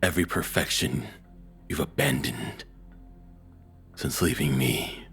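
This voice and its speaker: A man playing a controlling, cruel ex-boyfriend in an intimate audio roleplay, with a husky, rough-edged voice and a taunting, domineering, deliberate delivery. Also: a bass voice, a bit bright, soft background music, full character voice. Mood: scornful.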